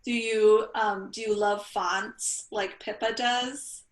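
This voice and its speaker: A woman's voice: mid-range voice, fairly even intonation, slow. A woman librarian hosting a virtual interview on a video call, upbeat and enthusiastic. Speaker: youth services librarian, host. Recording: echoey room on a call.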